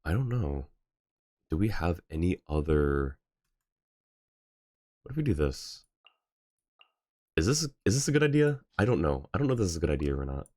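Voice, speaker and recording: A man's voice: low-pitched, very animated, normal speed, long pauses, darkish tone, dry recording, studio quality. A man livestreaming a game, giving casual commentary and thinking aloud in a hesitant way. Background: game music.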